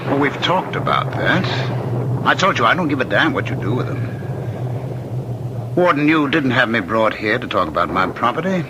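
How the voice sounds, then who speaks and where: A man's voice: mid-range voice, big pitch swings, background noise. An actor playing a condemned killer in a radio drama, taunting and insistent, articulate and knowing as he claims an undying murderous spirit. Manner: articulate, knowing, needling, measured pauses.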